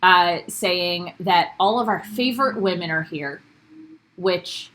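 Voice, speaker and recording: A woman's voice: lowish voice, slow, fairly bright tone, slight background noise, poor audio. A young woman co-hosting a casual recap podcast from home, upbeat, laughing often and greeting viewers by name. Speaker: podcast co-host. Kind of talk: casual recap chat, live stream. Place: home bedroom.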